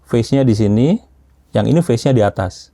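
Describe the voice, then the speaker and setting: A man's voice: mid-range voice, fairly quick, mellow, no room sound. A man casually explaining technical matters as an expert, often checking understanding with short tag questions. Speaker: audio system engineer being interviewed as an expert.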